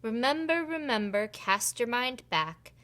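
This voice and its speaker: A woman voicing a kind but firm head fairy in a picture book, deliberate and gently scolding. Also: fairly high pitch, animated. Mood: gently chiding. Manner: deliberate, repetitive, chiding.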